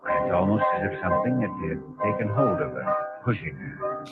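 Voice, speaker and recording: An actor playing a calm, probing doctor in a 1950s radio drama, speaking in a measured, solemn way. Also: male voice, deep voice. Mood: grave, solemn. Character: calm, probing male doctor, serious and concerned. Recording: muffled old radio recording with music.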